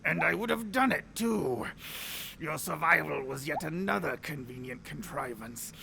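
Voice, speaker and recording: An actor playing a smug, gloating captured enemy officer with a pompous, mocking delivery in an audio drama. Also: male voice, a little high, lively intonation, normal speed, creaky, pushed and bright, slight background noise, exaggerated voice.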